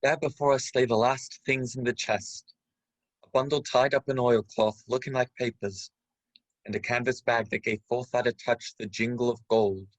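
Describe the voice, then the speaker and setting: A man playing the narrator of a radio play, gravely recounting a boyhood adventure. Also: medium pitch, steady tone, normal speed, dry recording, natural voice, rough recording. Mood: measured suspense. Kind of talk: scripted dramatic narration.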